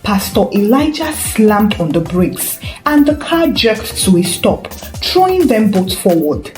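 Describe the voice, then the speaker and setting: A woman's voice: medium pitch, sing-song, unhurried, a little mellow. A woman narrating a dramatic scripted story as a storyteller. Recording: roomy echo with faint music. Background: faint background music.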